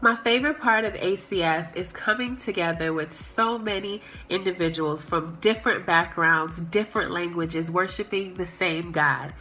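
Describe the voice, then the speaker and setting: A woman's voice: on the low side, moderate pace, hardly any pauses, poor audio. A young adult woman, the fellowship's president, giving an upbeat to-camera introduction with playful asides. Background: soft background music.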